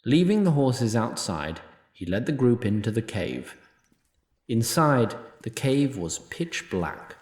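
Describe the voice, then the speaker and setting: A man reads scripted fantasy narration at a medium pitch. His delivery is wry and literary, with animated rises and falls. The sound has a noticeable room echo. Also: takes it slowly.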